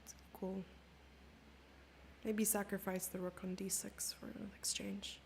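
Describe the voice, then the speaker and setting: A woman's voice: mid-range voice, flat delivery, a little slow, vocal fry, a little hiss or hum. A South African woman giving live chess commentary and chatting with her viewers, reading their questions aloud and answering them. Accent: South African English.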